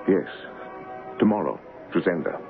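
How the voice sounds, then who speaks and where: A gallant, earnest hero of an old radio adventure, composed and courtly in his low voice. The broadcast sound is dull, with music clearly behind him. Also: animated, unhurried, exaggerated voice. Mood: guarded, grave. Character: brave gallant adventurer posing as a king, devoted lover. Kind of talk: radio drama acting and first-person narration.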